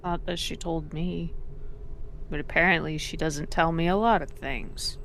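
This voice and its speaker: A woman's voice: low voice, steady tone, unhurried, fairly bright tone, a little hiss or hum. A woman playing a tabletop role-playing game, casually narrating and voicing her character's part. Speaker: tabletop role-play player.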